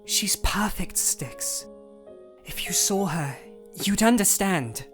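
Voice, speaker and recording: A woman's voice: medium pitch, lively intonation, slow pace, faint music behind. A woman voicing a lovestruck young male pony artist in an audio story, earnest and dreamy. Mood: earnest, smitten. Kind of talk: audio story character dialogue.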